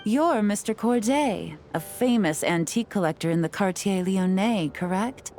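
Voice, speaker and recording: A woman voicing a poised, sly female spy who talks with smooth, teasing politeness in a video game. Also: mid-range voice, expressive, normal speed, a little mellow, faint music behind, natural voice.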